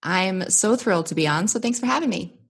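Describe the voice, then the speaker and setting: A woman's voice: mid-range voice, animated, fairly quick, gravelly, husky. A woman in her thirties, originally from the United States, telling her business story with upbeat energy as a podcast guest. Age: 30s. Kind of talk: conversational interview storytelling.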